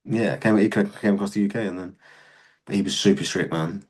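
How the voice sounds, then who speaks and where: A man's voice: low-pitched, steady tone, brisk, darkish tone, husky. A young man, a podcast guest and serving soldier, telling candid stories in a casual chat and swearing freely.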